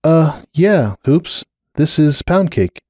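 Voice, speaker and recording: Adult male pony wizard man reading, awkward, hesitant explainer, higher-pitched, fantasy storybook drama. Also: sing-song, slow, darkish tone, no echo, studio quality, heavily acted.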